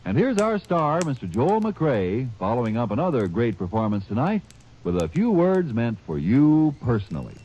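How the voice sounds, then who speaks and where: A man's voice: big pitch swings, moderate pace, warm tone. A man announcing a 1950s radio commercial in a hearty, salesy way. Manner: hearty, salesy, rising pitch. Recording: dull old radio transcription.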